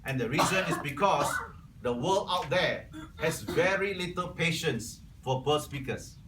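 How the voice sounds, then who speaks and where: A man whose first language is Cantonese gives an earnest prepared speech to his club as its incoming membership officer, often clearing his throat. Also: fairly high pitch, sharp tone, a little hiss or hum. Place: club meeting room. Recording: echoey room, from far off.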